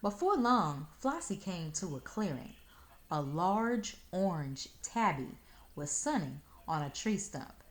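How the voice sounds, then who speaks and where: A woman reading a children's story aloud in expressive narration. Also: on the low side, slow pace.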